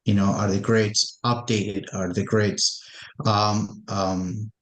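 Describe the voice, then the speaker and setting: A man's voice: lowish voice, flat delivery, takes it slowly, fairly bright tone, poor audio. A man from Guyana, joining a webinar on a video call to ask a question and stumbling over repeated words. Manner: stammering, repeats words often. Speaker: webinar attendee. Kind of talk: live question from the audience.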